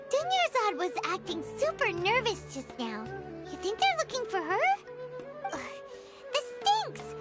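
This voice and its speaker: A woman voicing a tiny chirpy fairy companion girl who talks about herself in the third person, with game music behind. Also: full character voice. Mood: agitated. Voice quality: high, squeaky and bubbly. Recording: game audio with loud background music.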